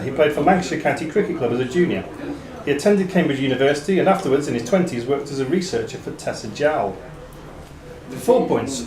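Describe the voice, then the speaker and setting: A man reading quiz questions to players as quizmaster, in a measured, formal way with dry asides. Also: medium pitch. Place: pub room during a live quiz. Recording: echoey pub room, chatter behind.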